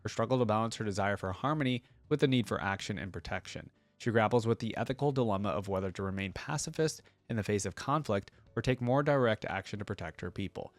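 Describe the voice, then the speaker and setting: A man's voice: on the low side, fast talker, few pauses, no echo. Man giving casual lore commentary, dry sound. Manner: conversational, tentative asides with frequent hedging. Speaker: host of a fan reaction channel. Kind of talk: casual reaction commentary mixed with reading lore aloud.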